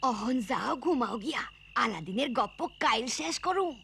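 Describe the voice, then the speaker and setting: Elderly village grandmother, cartoon storyteller, rural Bangladeshi accent, high-pitched, crackly voice, kindly. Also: big pitch swings, moderate pace, vocal fry, a bit bright, heavily acted. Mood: gently firm. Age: older. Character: kindly old village grandmother telling bedtime tales.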